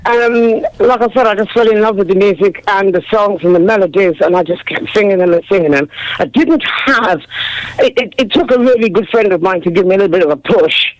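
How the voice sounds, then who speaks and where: A woman jazz singer with a big, deep speaking voice, chatting warmly in an interview and laughing often. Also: no room sound, rough recording. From family from Dominica, West Indies. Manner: warm, effusive, laughs often. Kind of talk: casual interview chat.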